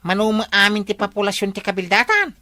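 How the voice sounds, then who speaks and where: A woman with an Ilocano accent playing a brisk, cheeky member of a village night watch in a radio comedy. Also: medium pitch, animated, sharp tone, no echo. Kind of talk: comedy radio drama acting.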